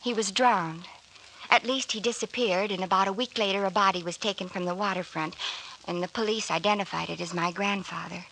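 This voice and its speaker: A woman's voice: medium pitch, steady tone, a little fast, gravelly, fairly bright tone. An actress playing an earnest, hesitant young woman telling her story politely in an old-time radio drama. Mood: wistful. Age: young adult. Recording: noisy old radio recording.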